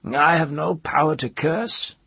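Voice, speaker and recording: A man voicing a bitter, half-mad old woman from a fantasy storybook, hoarse and screechy, with archaic, intense speech. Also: on the high side, unhurried, sharp tone, no room sound, pitched above the performer's own voice, heavily acted. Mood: suspicious, bitter. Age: older.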